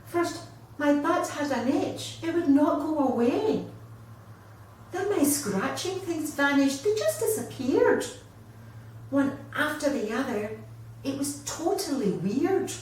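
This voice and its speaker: A woman plays a male storybook wombat, itchy and full of dramatic complaint. The voice sits high and takes its time, pleading in rhyme. It sounds distant in a very echoey room. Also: animated, slow, a little mellow. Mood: plaintive, upset. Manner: exclamatory, rhyming complaint. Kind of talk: children's storybook character voice. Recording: echoey room, distant mic, faint noise.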